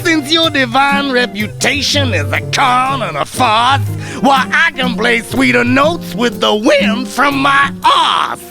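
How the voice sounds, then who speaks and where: A man playing a cocky, boastful satyr musician in comic radio drama, taunting in theatrical rhyme with a gravelly voice. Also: very high-pitched, lively intonation, slow, few pauses, over music, exaggerated voice.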